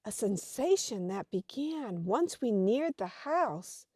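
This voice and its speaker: Woman acting a dreamy headstrong reporter lady, high soft mellow voice, polite, audio drama, very dry sound. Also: big pitch swings, slow, slightly rough, dry recording, exaggerated voice. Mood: entranced, uneasy.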